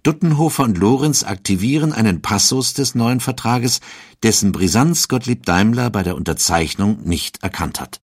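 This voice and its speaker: Deep, husky male documentary narrator. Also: expressive, normal speed, dry recording, clean studio sound.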